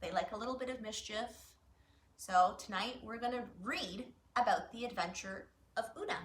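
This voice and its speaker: A woman leads a story time for young children in a high, bright voice. She talks and reads to her little listeners in an animated manner. The recording has a roomy echo around her voice. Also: slight background noise. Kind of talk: children's story time, talking and reading aloud to young kids. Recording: roomy echo, faint music.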